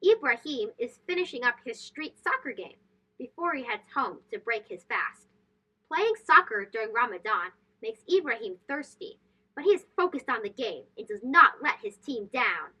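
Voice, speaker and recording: A woman reading a picture book aloud to young children in an expressive, sing-song, emphatic way. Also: fairly high pitch, moderate pace, husky, poor audio. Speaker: children's read-aloud host. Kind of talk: animated storybook read-aloud for young children.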